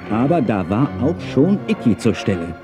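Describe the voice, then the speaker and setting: A man's voice: mid-range voice, big pitch swings, moderate pace. A man narrating a children's audio drama in a dramatic storytelling manner. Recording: music behind the voice. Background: music.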